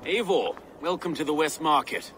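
A man's voice: a little high, normal speed, a bit bright, slight background noise, lightly acted. Actor as male medieval lawman, earnest, formal, grave, video game, busy outdoor background. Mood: proud. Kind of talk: video game cutscene acting.

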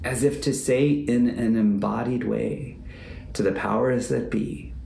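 Creaky-voiced male pastor, reflective. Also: mid-range voice, steady tone, mellow. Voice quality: gravelly, creaky. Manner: measured, deliberate, repeats phrases for emphasis. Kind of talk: reflective sermon to camera. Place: book-lined home office or study, indoors. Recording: roomy echo, faint background noise.

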